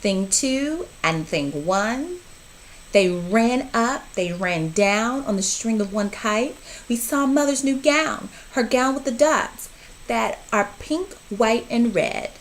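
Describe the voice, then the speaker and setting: A woman reading a rhyming storybook aloud to children with expressive, rhythmic, deliberate phrasing. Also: a little high, a little hiss or hum, low-quality recording.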